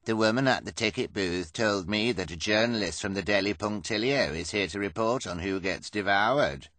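A man's voice: on the low side, normal speed, fairly bright tone, dry recording, natural voice. A man voicing sneering, bloodthirsty male carnival visitors in a storybook, with gleeful jeering.

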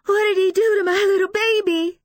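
Audiobook role: distraught mother, extremely high voice, halting, breathless and weepy, close dry recording. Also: expressive, no room sound. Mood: tearful, pleading. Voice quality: tearful, wailing. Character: distraught, frightened, defensive grieving mother. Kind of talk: dramatised audiobook dialogue.

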